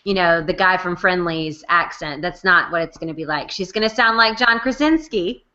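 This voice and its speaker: Opinionated woman cohost, bright sharp voice, kinda muffled call audio. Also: fairly low pitch, normal speed. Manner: opinionated, emphatic. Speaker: podcast co-host, recap writer. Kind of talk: casual chat over video call. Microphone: video call. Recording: dull lo-fi video call audio.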